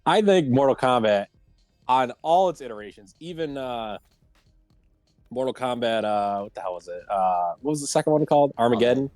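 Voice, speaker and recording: A man's voice: mid-range voice, animated, moderate pace, a little mellow, no room sound, faint music behind. A man hosting a casual round-table chat about movies and games, speaking in an enthusiastic, opinionated way. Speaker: host of round-table discussion.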